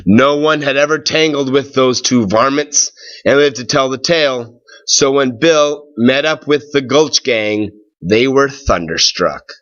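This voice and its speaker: A man reads a tall tale aloud as a storyteller, at a slow pace and in a medium-pitched voice. He phrases things deliberately and dramatically. The recording is a little dull. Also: fairly bright tone. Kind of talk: expressive storybook narration.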